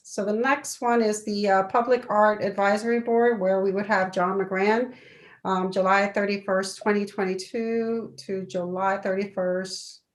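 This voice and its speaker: A woman council member reading a formal committee report aloud, halting now and then to check and correct details. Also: medium pitch, fairly even intonation. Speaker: city council member presenting committee appointments. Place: local government meeting.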